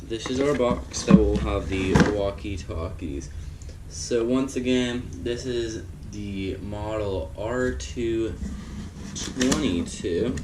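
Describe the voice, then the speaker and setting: A man giving a casual unboxing demonstration in a halting way, often repeating and correcting himself. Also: lowish voice. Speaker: product reviewer. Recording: noisy recording with loud background noise and clicks.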